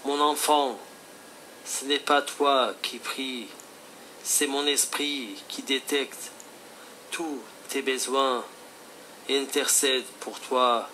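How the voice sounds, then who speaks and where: Slow, bright-voiced man acting as Jesus. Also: medium pitch, steady tone, a little hiss or hum, low-quality recording. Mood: solemn, instructive. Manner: slow, solemn, instructive. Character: Jesus speaking by telephone, calm fatherly divine teacher. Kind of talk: scripted religious phone dialogue.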